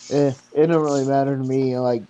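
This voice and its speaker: A man's voice: mid-range voice, level tone, fairly quick, warm tone, clean tone, dull audio. A man in his thirties chatting casually, rambling with lots of fillers and repeating himself. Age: 30s. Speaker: support group member. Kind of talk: casual chat.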